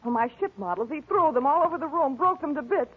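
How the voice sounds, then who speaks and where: A woman's voice: sits high, lively intonation, fast talker. A woman acting an earnest, plaintive female character in an old-time radio detective drama. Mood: pleading. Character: fussy, solicitous young female secretary or distraught woman neighbour. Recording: tinny, noisy old-time radio recording.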